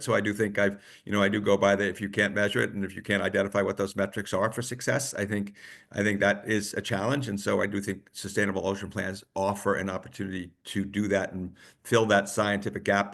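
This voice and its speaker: A man moderating a webinar panel, halting and often repeating words. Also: on the low side, creaky, fairly bright tone.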